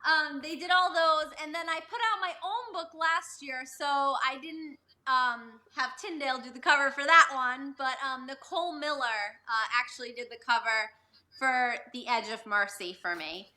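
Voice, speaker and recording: A woman from Massachusetts with a Boston accent, an author answering interview questions eagerly and enthusiastically, her voice sounding distant and quiet. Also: high-pitched, moderate pace. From Massachusetts, USA. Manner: enthusiastic, eager, laughs easily. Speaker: author, guest.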